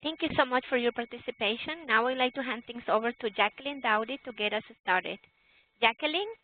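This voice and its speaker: Woman hosting a webinar, high voice, polite scripted bits, phone sound. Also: brisk, a little hiss or hum. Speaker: webinar moderator. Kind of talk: scripted moderation. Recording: tinny phone line.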